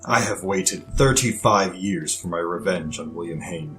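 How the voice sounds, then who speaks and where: An actor playing a vengeful older villain commander in an audio drama, threatening in a slow, deliberate way. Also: male voice, low-pitched, fairly bright tone, soft background music, poor audio. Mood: cold menace.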